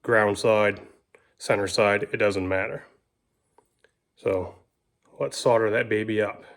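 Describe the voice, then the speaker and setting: A man's voice: on the low side, steady tone, slow, gravelly, a bit bright. Folksy man giving a casual how-to. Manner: folksy, colloquial. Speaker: host of a DIY how-to video. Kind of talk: casual hands-on tutorial talk while working.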